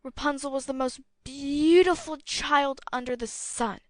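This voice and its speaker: A woman narrating a fairy tale as an audiobook, with a clear storytelling delivery. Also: sits high, fairly even intonation, unhurried, dry recording.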